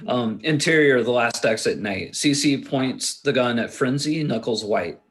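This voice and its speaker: A man of average pitch narrates a film script at a table read. His delivery is casual and a little stumbling, reading stage directions and scene headings. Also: measured pace, a bit bright, poor audio. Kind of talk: reading screenplay action lines.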